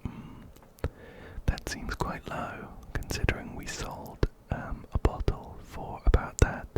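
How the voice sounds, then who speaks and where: Slowly whispering man, close to the mic. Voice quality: soft breathy whisper. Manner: slow, soothing, repeats phrases gently. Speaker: ASMR host playing a video game. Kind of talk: ASMR whispered game commentary. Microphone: close-up binaural microphone.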